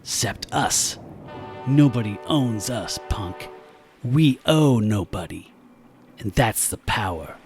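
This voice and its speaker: A man's voice: lowish voice, expressive, takes it slowly, a little mellow. A man playing a menacing bald biker thug in an audio drama, cocky and cruel, talking in sneering street-tough slang. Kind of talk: radio-style audio drama acting. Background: music.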